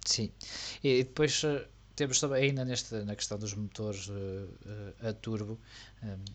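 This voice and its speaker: A man chatting casually as a co-host, relaxed and joking, with frequent fillers. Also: lowish voice, a little slow. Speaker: co-host of a motorsport tech talk. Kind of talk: casual chat.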